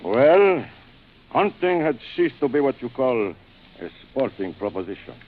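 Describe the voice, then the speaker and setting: An actor playing a suave, cruel aristocratic older general who hunts men, in urbane, theatrical 1940s radio thriller style with savored pauses. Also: male voice, mid-range voice, very animated. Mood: urbane, world-weary. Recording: muffled, noisy vintage radio recording.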